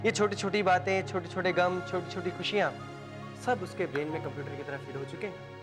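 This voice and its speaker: A man playing a calm, joking film doctor. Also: sits high, a little fast, close to an everyday voice. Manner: reassuring, lightly teasing, mixes English phrases. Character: calm jovial male doctor. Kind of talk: 1990s Hindi film acting. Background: film score music.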